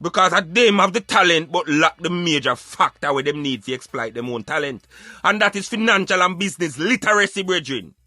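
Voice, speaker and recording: A man's voice: fairly high pitch, expressive, a little fast, pushed and bright, no echo, faint music behind. A Jamaican man giving emphatic, opinionated social commentary in Patois, like a street philosopher preaching on a radio show.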